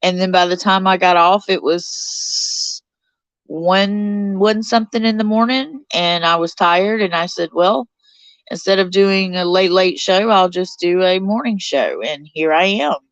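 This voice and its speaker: A chatty woman in her forties talks to her live-stream viewers from a cold bedroom, a little stuffed up from her sinuses. Her voice sits low and smooth. The sound is close and dry, and a bit dull. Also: a little slow, no room sound. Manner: chatty, reads out viewer comments. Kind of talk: casual live stream chat. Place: cold bedroom under a blanket.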